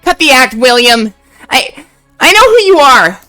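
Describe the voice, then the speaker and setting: A woman voicing a timid young woman who apologizes quietly and breaks into defiant shouts. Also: high-pitched, very animated, measured pace, soft background music, exaggerated voice.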